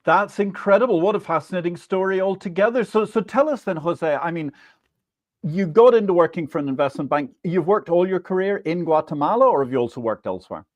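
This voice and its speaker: Animated, theatrical male live stream host. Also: high-pitched, fairly quick, no echo. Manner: theatrical, emphatic, grandiose delivery. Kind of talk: animated scripted news monologue and guest interview.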